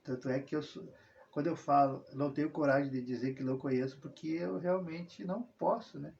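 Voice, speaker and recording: Rambling southern man, high voice. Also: fairly quick, gravelly, darkish tone, a little hiss or hum. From southern Brazil. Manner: rambling, frequent tag questions, self-corrections. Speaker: religious video host. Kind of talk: casual personal monologue. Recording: dull lo-fi sound.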